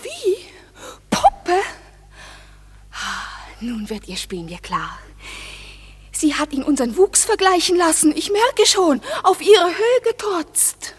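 A jilted young woman in a classic radio play. Her voice sits very high and leaps about, turning from bewildered to shrill fury. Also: very animated, slow, full character voice. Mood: furious. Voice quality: shifts from bewildered to shrill and raging.

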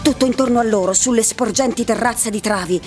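An actress narrating a bleak futuristic story for a radio drama, in a measured literary style, with music behind her. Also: on the high side, close to an everyday voice. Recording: music bed under the voice.

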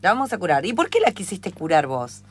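Una mujer con acento argentino que interpreta a una sanadora amable y tranquilizadora en un radioteatro improvisado. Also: female voice, on the low side, sing-song, fairly quick, slightly rough. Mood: reassuring. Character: kindly, unhurried woman singing healer.

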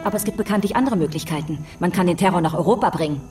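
An actress plays a stern female interrogator in a radio drama, heard somewhat off-mic. She is sharp, sarcastic and accusatory, with faint music in the background. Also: on the low side, rapid-fire, distant mic, close to an everyday voice.

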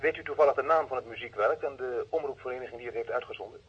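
An actor playing a courteous, businesslike studio attendant on the telephone in a vintage radio play, with a Netherlands Dutch accent. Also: male voice, mid-range voice, breathy edge. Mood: brisk, polite. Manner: polite, brisk, businesslike. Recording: muffled telephone-like old radio recording.

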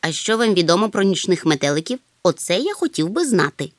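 A woman voicing a fussy, slow-witted male insect-collecting creature in a storybook, with a muttering, pedantic manner. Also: fairly low pitch, very animated, no echo, clean studio sound. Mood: curious, brusque.

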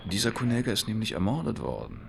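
An actor playing an outwardly affable, quietly persistent man in a radio play, speaking politely and deliberately, with insinuation. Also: lowish voice, big pitch swings, gravelly, background noise. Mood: pointed, casual. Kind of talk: radio drama dialogue acting.

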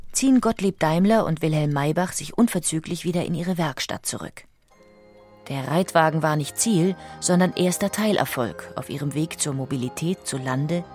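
A woman's voice: low-pitched, moderate pace, darkish tone, faint music behind. A woman delivering scripted documentary narration as a narrator.

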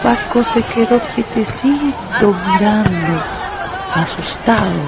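A woman's voice: medium pitch, expressive, slow. A woman with a Peruvian accent narrating a scripted radio story in a dramatic style. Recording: music and schoolyard crowd behind.

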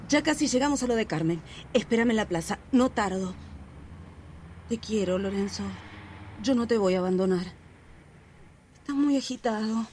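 A woman plays a gentle, protective sister who soothes and reasons with her brother. Her voice sits a little high, with faint street noise behind her. Radio drama acting. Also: lightly acted. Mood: soothing. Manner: soothing, then alarmed. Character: worried caring sister, a nurse.

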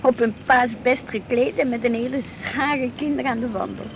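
A woman's voice: a little high, normal speed, close to an everyday voice. An actress playing an inquisitive, earnest wife and mother in a naturalistic radio play, with a Netherlands Dutch accent. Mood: reflective. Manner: questioning, earnest. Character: inquisitive, reflective wife and mother, easily piqued at her husband. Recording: noisy outdoor street ambience with traffic.

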